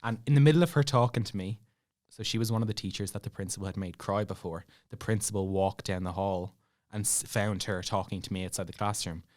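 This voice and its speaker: A man's voice: on the low side, fast talker. A young man with an Irish accent telling a personal story in casual chat, acting out bits of dialogue as he goes. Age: young adult. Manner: animated retelling with acted-out dialogue and laughing asides. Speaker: podcast guest telling a story.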